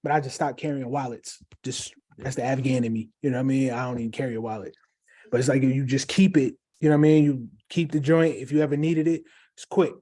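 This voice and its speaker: A man's voice: mid-range voice, steady tone, fast, mellow. A man co-hosting a casual livestream, upbeat and encouraging, reading out viewer questions. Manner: upbeat, encouraging, many fillers. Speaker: co-host.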